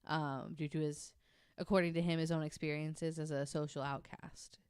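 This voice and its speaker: Low-voiced woman with a clear, smooth tone. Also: little pitch movement, moderate pace, a little mellow, no room sound, studio quality. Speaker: podcast host. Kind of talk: casual nonfiction storytelling from notes with banter.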